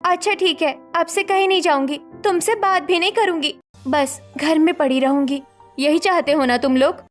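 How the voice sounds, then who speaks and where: Woman playing a high-voiced, defiant young wife. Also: normal speed, soft background music. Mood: sulky. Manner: petulant, dismissive. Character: sulky, defiant, manipulative young daughter-in-law.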